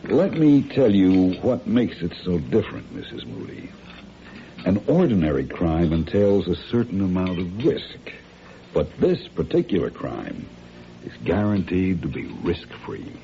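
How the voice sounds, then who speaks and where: An actor in an old-time radio drama playing a smooth, calculating crime ringleader who speaks in a polite, measured, condescending way. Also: male voice, low voice, very animated, mellow, full character voice. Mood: smoothly persuasive. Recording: dull, noisy old radio recording.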